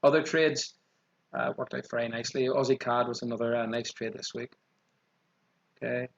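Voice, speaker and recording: A man with a strong Irish accent walking through trading charts quickly, often saying "okay" as he goes. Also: medium pitch, steady tone, highs cut off. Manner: quick, repeats 'okay' as a filler. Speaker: trading channel host. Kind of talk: screen-share chart walkthrough.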